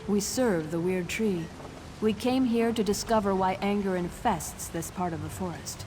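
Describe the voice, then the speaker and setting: Actress as a troubled forest witch for a fantasy game: grave, devout, medium pitch, clear ambient noise behind. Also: normal speed, natural voice. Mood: grave, worried. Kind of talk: fantasy video game voice acting.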